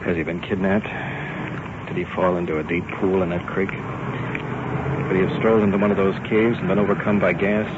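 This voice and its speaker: A man's voice: low-pitched, flat delivery, a little fast, pauses often. An American man reporting live from the field as a radio host, narrating what happens around him. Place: outdoors in the field at a search scene. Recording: muffled 1950s field recording, loud noise.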